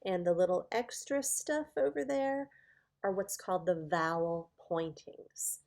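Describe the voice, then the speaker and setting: Slow, lower-pitched woman teaching informally. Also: gravelly, a little mellow. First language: English. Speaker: Bible teacher presenting a lesson. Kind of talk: informal spoken lesson to viewers.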